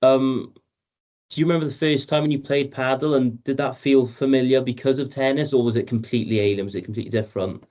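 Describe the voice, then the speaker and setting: A man's voice: mid-range voice, fairly even intonation, quick pace, a little mellow, muffled recording. A man co-hosting a podcast, reading a guest introduction and asking prepared questions, with some restarts. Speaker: podcast co-host.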